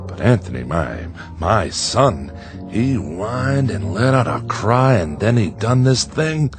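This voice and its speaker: A man's voice: fairly low pitch, very animated, takes it slowly, over music, exaggerated voice. An actor playing a frightened small-town father in a radio drama, folksy, with forced cheer that cracks into bitterness. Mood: bitter, despairing.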